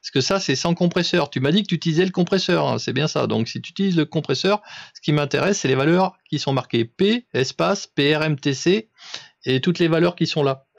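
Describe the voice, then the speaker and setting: A man with a gravelly, mid-pitched voice talks informally, like a radio operator patiently setting someone straight. He is didactic and exacting about each instruction. The sound is close and dry, with a slightly muffled, lo-fi tone. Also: fairly quick, dry recording. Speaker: amateur radio operator coaching another on settings. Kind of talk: casual instructional radio chat.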